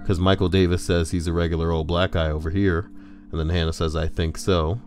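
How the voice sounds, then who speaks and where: A man's voice: deep voice, flat delivery, brisk, darkish tone. A man casually reading forum posts aloud on a livestream, breaking in with crude, joking asides.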